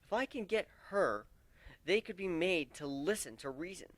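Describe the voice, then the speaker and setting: A man's voice: high-pitched, expressive, normal speed, a bit bright, no room sound, pitched above the performer's own voice. A man voicing a brash, frank young griffin warrior in a dramatic story reading. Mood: determined, emphatic.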